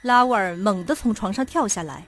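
A woman's voice: medium pitch, animated, brisk, natural voice. A woman reading scripted dramatic narration for a suspense radio play. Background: music behind the voice.

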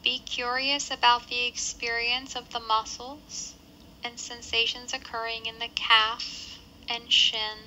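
A woman leading a guided body scan meditation with measured, gentle instructions and pauses between phrases. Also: a little high, level tone, a little hiss or hum, low-quality recording.

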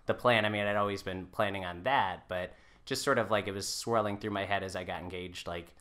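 A man's voice: low voice, fairly even intonation, fairly quick, bright, forceful tone. A man in his twenties chatting casually as a guest in an interview. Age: 20s. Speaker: podcast guest, folk musician.

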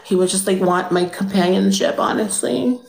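A woman's voice: medium pitch, normal speed, vocal fry, lightly acted. Casting: a young woman as a spoiled, shameless rich girl, airy drawl, roomy phone audio. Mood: smug, airy. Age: young adult. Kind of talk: improvised comedic character on a livestream. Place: indoor room with pink lights. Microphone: phone front camera.

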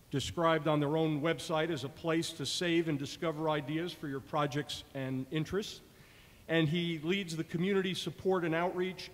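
A man in his fifties moderating a panel, giving a prepared introduction to an audience at a stand microphone in an auditorium. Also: on the high side. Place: large auditorium stage. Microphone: table stand microphone. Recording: echoey large hall through PA.

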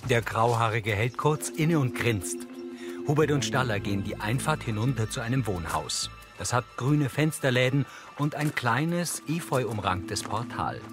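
A man's voice: mid-range voice, expressive, unhurried, music in the background. A man reading scripted audio description narration for a television drama.

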